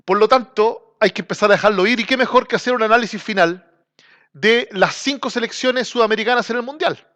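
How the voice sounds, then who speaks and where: Chilean male football host, high voice, slangy, emphatic, casual on-camera monologue. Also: brisk, a bit bright. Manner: colloquial, slangy and emphatic, drinking while talking. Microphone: small camera.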